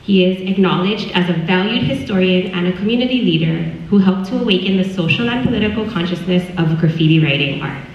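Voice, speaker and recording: A woman moderating a Q&A, reading guest introductions and asking questions politely, a little halting. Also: on the low side. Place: large hall at a film screening. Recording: echoey hall from far off, dull.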